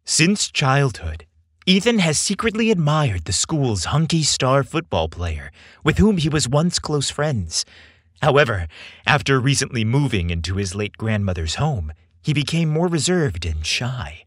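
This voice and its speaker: A male podcast narrator with a husky voice and a widely swooping, expressive pitch. He reads scripted material in a theatrical, deliberate way, recorded close and dry. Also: no echo. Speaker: podcast host and story narrator.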